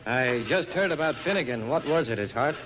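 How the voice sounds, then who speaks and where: An actor playing a gruff, suspicious saloon boss, blunt and wary, in the style of 1940s radio drama. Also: male voice, medium pitch, expressive, fairly quick, lightly acted. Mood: uneasy. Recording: noisy, hissy old radio recording.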